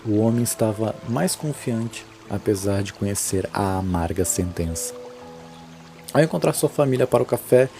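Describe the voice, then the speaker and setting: Low, creaky Brazilian male narrator. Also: mellow. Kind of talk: scripted horror audio drama narration. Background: music.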